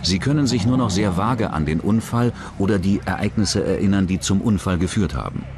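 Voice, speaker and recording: A man's voice: low voice, expressive, brisk. A man reading scripted true-crime documentary narration. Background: background music.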